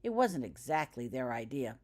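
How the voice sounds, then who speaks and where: A woman's voice: very deep voice, big pitch swings, moderate pace, a little mellow, pitched below the performer's own voice, full character voice. A woman reading the part of a stubborn, earnest schoolboy in a storybook, blunt and matter-of-fact.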